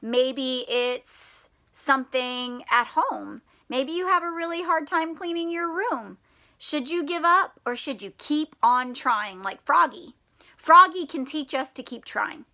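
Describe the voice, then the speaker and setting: Slow-paced, high-voiced woman teacher. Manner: animated, encouraging, questioning. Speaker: teacher reading aloud to children. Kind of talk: children's read-aloud with questions.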